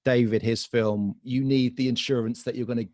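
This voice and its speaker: A man chatting on a podcast, loose and jokey, quick to laugh and trailing off into fillers. His voice sits at an ordinary pitch. It is recorded dry and close, with no room sound. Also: fairly even intonation, darkish tone, dry recording. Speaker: podcast co-host. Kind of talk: casual podcast chat.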